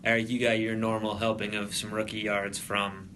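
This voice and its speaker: A man's voice: fairly low pitch, flat delivery, moderate pace, noisy background. A young man hosting a casual sports podcast, normally laid back but trying to bring extra energy. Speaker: podcast host.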